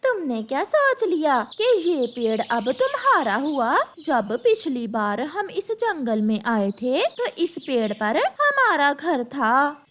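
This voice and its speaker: Greedy crow lady played by a woman, loud, high and demanding. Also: big pitch swings, takes it slowly, hardly any pauses, slight background noise, heavily acted. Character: greedy scheming female crow, pushy.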